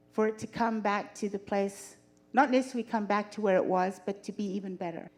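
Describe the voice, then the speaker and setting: Woman from Zimbabwe hosting a seminar. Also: mid-range voice. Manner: warm, organized, introduces speakers and lays out the agenda. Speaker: presenter of a church seminar. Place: church hall.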